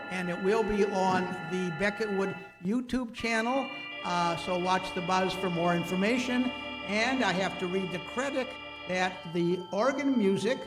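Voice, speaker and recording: A man hosting a community show, informally reading thanks and credits in a halting, hesitant way. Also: high-pitched, long reverb tail, rough recording. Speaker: host of a community radio-play show. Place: hall with a live audience.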